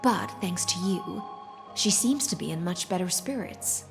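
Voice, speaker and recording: Game role: gracious, wistful fantasy woman. Lower register, formal, lyrical, unhurried, soft music underneath. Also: animated, gravelly. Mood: gentle, wistful. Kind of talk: video game voice acting.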